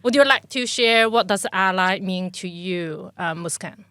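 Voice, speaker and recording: A woman hosting a podcast, reading a formal introduction and asking guests measured questions. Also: medium pitch, sharp tone, clean tone, dry recording. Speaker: podcast host. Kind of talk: podcast interview, scripted intro.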